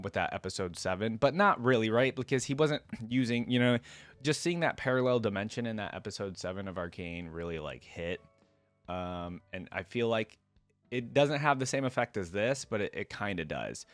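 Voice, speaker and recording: A man with a fairly low voice gives casual reaction commentary. He talks informally, thinking aloud as he goes. The sound is dry, with faint music in the background. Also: no echo. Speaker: reaction video host.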